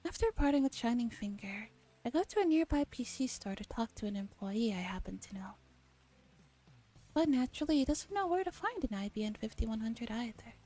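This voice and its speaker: Mellow, higher-voiced woman reading ASMR. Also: very animated, brisk. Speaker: streamer reading a visual novel aloud. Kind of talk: soft ASMR read-aloud narration. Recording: background music under voice. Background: soft background music.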